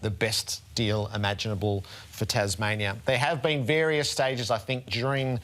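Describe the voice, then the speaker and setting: A man's voice: mid-range voice, steady tone, moderate pace, fairly bright tone. An Australian man hosting a sports panel show, speaking in an emphatic, eloquent, rhetorical way.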